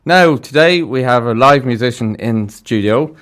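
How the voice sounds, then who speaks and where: A man's voice: medium pitch, expressive, normal speed, a bit bright, smooth. A man presenting a music radio show in a casual, chatty, rambling way from a studio. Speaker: radio host. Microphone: studio broadcast microphone.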